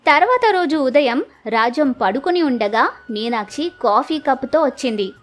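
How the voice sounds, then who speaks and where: A woman's voice: high voice, expressive, normal speed. A woman storyteller narrating a scripted village moral story. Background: music.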